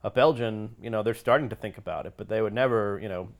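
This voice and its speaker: A man's voice: lowish voice, quick pace. A talkative man from the United States co-hosting a casual chat, explaining his points conversationally.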